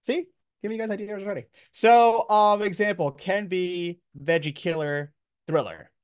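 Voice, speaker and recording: A man's voice: sits high, steady tone, moderate pace, clear, resonant tone, no echo. A man speaking as a college professor, giving an informal, encouraging lecture to his class in casual, slangy language.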